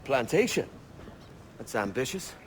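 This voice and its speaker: An actor playing a roguish young pirate in a video game, wry and breezy. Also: male voice, mid-range voice, very animated, unhurried, slight background noise. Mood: businesslike. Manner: breezy, dry, bantering. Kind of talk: video game cutscene acting.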